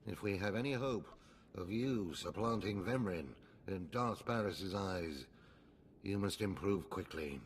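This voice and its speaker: An actor playing a stern, haughty older Sith overseer in a video game, clipped, lecturing and condescending. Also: male voice, sits low, expressive, unhurried, slight background noise. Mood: grave, lecturing. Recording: loud music behind.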